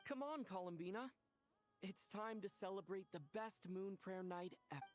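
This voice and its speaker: A woman voicing a kind, supportive young traveler in a video game, with an earnest, warm delivery. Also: medium pitch, normal speed, lightly acted.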